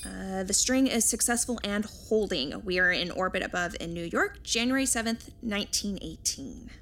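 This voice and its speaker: A woman's voice: medium pitch, moderate pace, very noisy, close to an everyday voice. A woman playing an earnest, nerdy time-travel scientist in an audio drama, with an explanatory, technical way of talking. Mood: focused.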